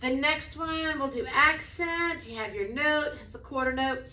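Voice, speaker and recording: Brief: woman music teacher, high bright voice, casual, room echo. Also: unhurried. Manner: demonstrates by singing snippets, then speaks casually; says she has a low voice. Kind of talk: explaining a preschool music lesson.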